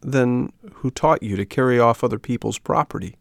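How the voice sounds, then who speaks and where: A man plays a little storybook firefly with a kind heart and firm morals. It admonishes gently, with compassion, in a dry, close recording. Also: medium pitch, normal speed, creaky, no room sound, pitched above the performer's own voice, close to an everyday voice. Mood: gently reproving.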